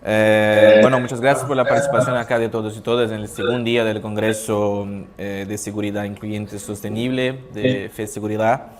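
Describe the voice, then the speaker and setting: A man's voice: fairly low pitch, flat delivery, moderate pace, few pauses. A man with a Brazilian Portuguese accent, a native Portuguese speaker, moderating a conference panel, hesitant and full of restarts. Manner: hesitant, restarts, apologetic about his Spanish. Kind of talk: conference panel opening remarks. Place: conference hall.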